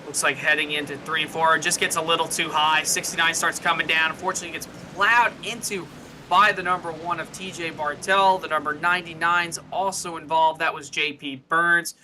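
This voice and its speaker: A man's voice: a little high, steady tone, fairly quick, bright, forceful tone, slight background noise. A man giving live co-commentary and analysis on a sim race in a casual, conversational way.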